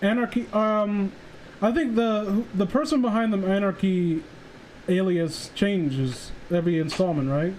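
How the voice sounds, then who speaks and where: A man hosting a casual livestream chat, rambling excitedly and swearing freely like an enthusiastic fan. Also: high voice, a little slow, a little hiss or hum, rough recording. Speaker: livestream host. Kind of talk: casual chat about comic book characters.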